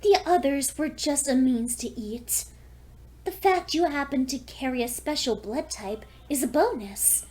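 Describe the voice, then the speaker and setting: A woman's voice: high voice, measured pace, darkish tone, pitched above the performer's own voice. A woman voicing a seductive, lonely vampire pony with a courteous, teasing manner in a fan fiction audio story. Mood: coolly predatory.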